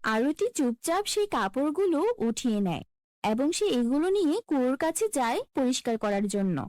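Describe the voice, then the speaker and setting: A woman tells a scripted fairy tale in a high voice. Her delivery rises and falls with lively melody, and the recording is dry, with no room sound. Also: normal speed, no echo. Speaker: storyteller.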